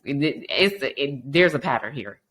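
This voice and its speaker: A woman's voice: rumbling low, lively intonation, unhurried, a bit bright, husky. A woman hosting a podcast in a frank, casual monologue, her voice stuffy and congested from allergies. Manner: frank, conversational, many restarts. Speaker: podcast host.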